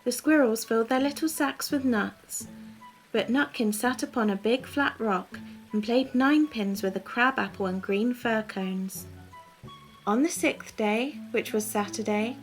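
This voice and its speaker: A woman narrating a children's storybook in a soft, gentle, calm way, as scripted bedtime reading. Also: on the high side, a little slow, faint music behind, low-quality recording.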